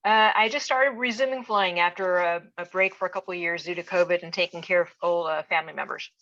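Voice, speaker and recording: A woman pilot describes getting back to flying in a brisk, matter-of-fact way. She talks fast, and her voice comes through a dull, lo-fi call. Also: fairly low pitch, pushed and bright. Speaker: returning student pilot. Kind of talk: casual video call meeting. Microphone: video call.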